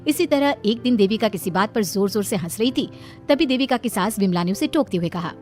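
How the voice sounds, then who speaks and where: A woman's voice: mid-range voice, animated, brisk, darkish tone, soft background music. A woman reading out a scripted moral story as its narrator.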